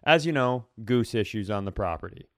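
A man's voice: fairly low pitch, lively intonation, a little fast, dry recording, studio quality. A man co-hosting a podcast, telling casual comic stories in a wry, rambling way. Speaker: podcast co-host. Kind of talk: casual comedic storytelling.

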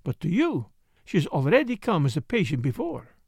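A man's voice: a little high, wildly swooping pitch, moderate pace, vocal fry, slightly rough, dry recording, studio quality, exaggerated voice. A man voicing a fussy, self-satisfied Belgian detective in an audiobook, speaking with a put-on French accent in a precise, mannered way. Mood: smug, teasing. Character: fussy, smug middle-aged male Belgian detective. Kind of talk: audiobook character voice.

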